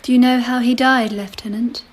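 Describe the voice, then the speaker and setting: Woman acting regal empress, radio play, measured delivery, guarded, intimate. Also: on the high side, fairly even intonation, lightly acted. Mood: courtly, composed. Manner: measured, formal, deliberate phrasing.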